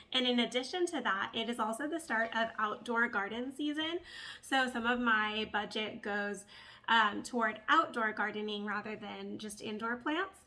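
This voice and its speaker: A woman's voice: on the high side, pushed and bright. A woman with a slightly nasally, congested voice from a cold, chatting casually and bubbly as a plant vlog host.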